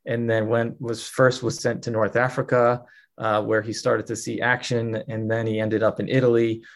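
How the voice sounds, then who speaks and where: A man's voice: mid-range voice, level tone, moderate pace. A man answering interview questions at length, telling a life story fluently with many fillers. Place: New York.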